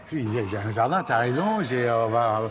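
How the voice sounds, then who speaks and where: A man's voice: mid-range voice, animated, moderate pace, over a noisy crowd. A man in his sixties talks casually as a guest in a conversational interview. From born in Cameroon, raised in central Africa by French parents. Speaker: interview guest, recently retired business network member. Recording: clear background noise and ambience.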